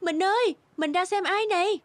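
A woman's voice: extremely high pitch, normal speed, no echo. A woman voicing a fisherman's friendly young wife in a fairy-tale cartoon. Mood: surprised, calling out.

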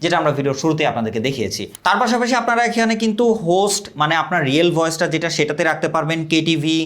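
A young man from Bangladesh giving an energetic, scripted product review and sales pitch. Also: very fast.

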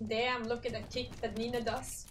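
A young woman doing live, casual gameplay commentary, excitable and quick to swear as she reacts. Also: a little high. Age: young adult. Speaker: game streamer. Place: indoor streaming setup. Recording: roomy, slightly distant, game music behind.

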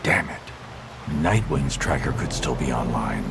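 An actor playing a grim, brooding vigilante detective in a video game, speaking in a terse, grave, deliberate way. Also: male voice, extremely low pitch, animated, creaky, very noisy, full character voice. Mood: urgent concern.

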